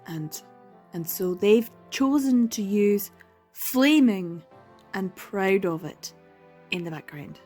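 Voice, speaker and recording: A woman's voice: medium pitch, lively intonation, slow, warm tone, soft background music. Exasperated young woman reviewing manga. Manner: exasperated, sighing and laughing, dramatic repetition. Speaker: book vlogger. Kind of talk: casual reading vlog commentary.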